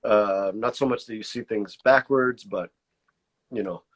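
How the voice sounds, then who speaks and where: A man's voice: lowish voice, measured pace. A man born in Mexico with Spanish as his first language, speaking English with a slight border accent, talking casually in an interview, hesitant and searching for words with many restarts. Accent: pocho border Spanglish-tinged American English. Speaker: artist, interview guest.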